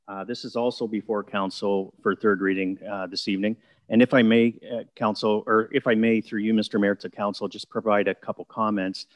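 A man speaking on a video call at a council meeting, explaining a bylaw in a measured, procedural way with frequent fillers. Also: on the low side, no echo, highs cut off. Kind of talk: formal council meeting presentation.

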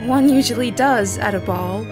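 A woman's voice: a little high, a little slow, music in the background. An actress playing a poised, proud young lady of period society, composed, dry and proper, in an audio drama.

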